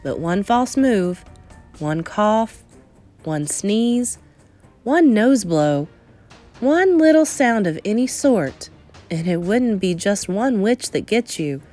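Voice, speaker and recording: Expressive woman reading a story aloud, very animated. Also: medium pitch, soft background music. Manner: suspenseful, emphatic, with deliberate pauses. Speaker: storybook reader. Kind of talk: dramatic read-aloud narration of a children's novel.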